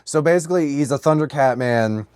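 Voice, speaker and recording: A man's voice: a little high, a little fast, darkish tone, clear, resonant tone, dry recording. A man co-hosting a podcast in casual comedic banter, swearing freely and riffing with exaggerated enthusiasm. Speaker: podcast co-host.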